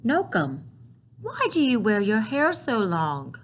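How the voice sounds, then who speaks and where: A woman's voice: on the high side, wildly swooping pitch, slow pace, slight background noise, full character voice. A woman voicing a curious young grandchild asking questions in a picture book. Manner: inquisitive.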